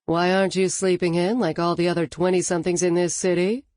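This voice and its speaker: A woman narrator voicing a sarcastic, brisk woman boss. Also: on the low side, little pitch movement, a little mellow, dry recording, lightly acted.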